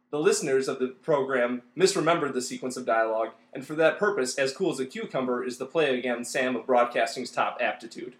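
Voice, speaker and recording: A man plays a pedantic commentator on an old radio play. His mock-documentary delivery is bright, and the room around him echoes. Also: mid-range voice, voice pushed down, lightly acted.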